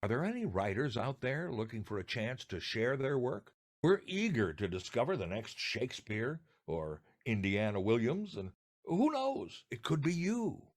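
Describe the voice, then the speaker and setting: A man's voice: mid-range voice, very animated, normal speed. An older American man co-hosting a podcast, presenting scripted material with theatrical, emphatic phrasing. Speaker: podcast co-host. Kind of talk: scripted radio-style presenting.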